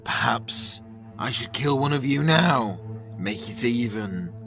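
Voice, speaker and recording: A man's voice: mid-range voice, lively intonation, slow pace, music in the background. A man playing a smug, menacing gang boss in a zombie apocalypse audio drama, taunting with false politeness. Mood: mocking, smug. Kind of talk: acted audio drama dialogue.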